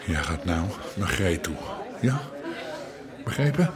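A man's voice: deep voice, very animated, takes it slowly, loud music behind the voice, over a noisy crowd, exaggerated voice. An actor playing a middle-aged old-school underworld boss and worried father in an audio drama, blunt and insistent. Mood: grim, commanding.

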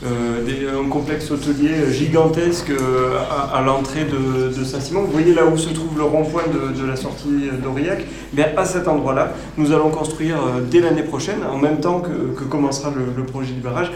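A man's voice: on the high side, steady tone, normal speed, few pauses, a little mellow, lightly acted. A man playing a small-town mayor at an improvised public meeting, hesitant and full of fillers as he boasts of grand plans. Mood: boastful. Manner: hesitant, many fillers, promotional. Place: workshop room. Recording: echoey room with background noise.

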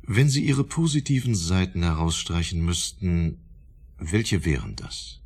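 A man's voice: sits low, lively intonation, a little slow, a little mellow, breathy edge, heavily acted. A man acting in a German radio drama as a probing, drily sceptical psychotherapist, polite with a touch of condescension. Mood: polite, professional.

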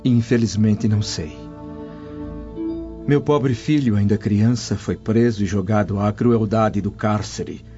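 Male actor as sorrowful dignified slave, deferential, grave, dark timbre, music underneath, radio drama. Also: lowish voice, natural voice. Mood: sorrowful, resigned. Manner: deferential, grave, measured storytelling. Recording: dull audio over music bed.

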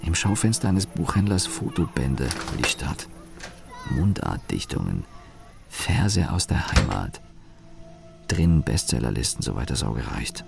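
Casting: jaded engineer, low gravelly voice, dry radio-play inner monologue in fragments. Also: male voice, very animated, a little slow, over music, exaggerated voice. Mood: sardonic, observant. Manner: clipped fragmentary sentences, sardonic. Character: weary, cynical male office engineer, brooding inner monologue.

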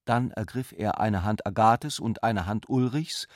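A man's voice: on the low side, a little slow, dry recording, clean studio sound. A man narrating literary prose for a radio drama.

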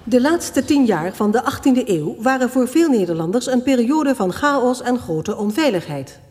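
A woman's voice: a little high, darkish tone. A woman reading scripted historical narration as the narrator of a radio drama. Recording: reverberant hall sound.

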